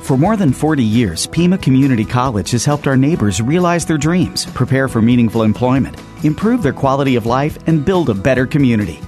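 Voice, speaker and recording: Brief: male announcer, animated pitch, scripted ad, soft guitar under. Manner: smooth, measured promotional delivery. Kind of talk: scripted college ad read.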